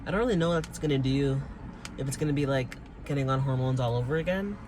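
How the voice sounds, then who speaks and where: A man's voice: mid-range voice, quick pace. Hesitant young man rambling in a car. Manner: hesitant, rambling, many fillers, casual swearing. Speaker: vlogger. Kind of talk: rambling personal vlog. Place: inside a car. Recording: car noise in background.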